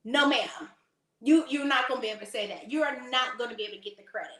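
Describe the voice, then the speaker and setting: A slightly hoarse woman hosting a faith talk, preaching casually to her audience in a sassy, emphatic way. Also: fairly high pitch, fast talker, sharp tone, roomy sound. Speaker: faith talk host and lifestyle consultant.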